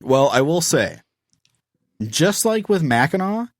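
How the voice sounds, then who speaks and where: A man's voice: fairly high pitch, very animated, slow, no echo, clean studio sound. An American man hosting a podcast, bantering casually with friends. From Michigan, US. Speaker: podcast host.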